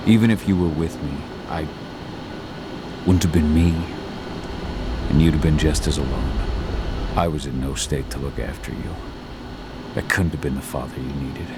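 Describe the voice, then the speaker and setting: A man's voice: very deep voice, fairly even intonation, normal speed, long pauses. An actor playing a weary, remorseful middle-aged warrior father returned from exile, in a video game cutscene. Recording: loud ambient noise behind the voice.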